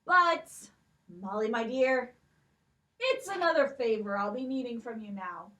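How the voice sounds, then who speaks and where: A woman putting on a fussy old king who dotes on his gold and gives out quests in a slow, lilting voice. His lines rise and fall widely, and he is heard from a distant mic in a children's puppet show. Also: fairly high pitch, expressive, bright, forceful tone. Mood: commanding.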